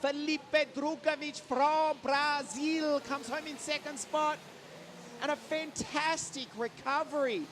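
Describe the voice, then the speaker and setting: A man's voice: very high voice, fairly even intonation, slow pace, a bit bright, slight background noise. A man giving live motorsport commentary from trackside, rattling off names and results with enthusiasm. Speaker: race commentator. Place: trackside at a karting circuit in southern Italy.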